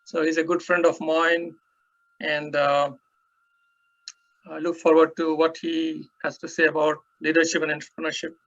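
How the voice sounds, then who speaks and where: Flat-voiced man on a muffled call. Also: a little high, normal speed. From Bangladesh. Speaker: professor, attendee. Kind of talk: self-introduction. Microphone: video call.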